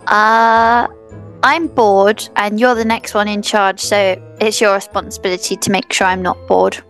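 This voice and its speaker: A woman voicing a bored, bossy, mischievous little girl in an improvised roleplay, with a demanding, drawn-out delivery. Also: medium pitch, flat delivery, a bit bright. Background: music.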